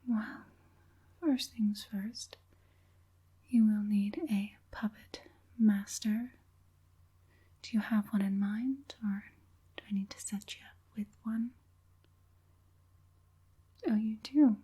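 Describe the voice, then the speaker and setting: A woman voicing an evil witch puppet master in an ASMR roleplay, mostly whispering in a breathy, deliberate, murmuring way. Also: mid-range voice, fairly even intonation, mellow. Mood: soothing, sinister. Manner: slow, deliberate, murmuring assent between pauses.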